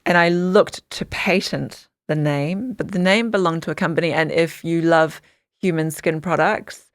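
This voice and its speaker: A woman's voice: deep voice, moderate pace, no room sound, studio quality. A woman from Samoa, a guest founder of a dog skincare brand, telling detailed stories in an interview with frequent restarts. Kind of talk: interview storytelling.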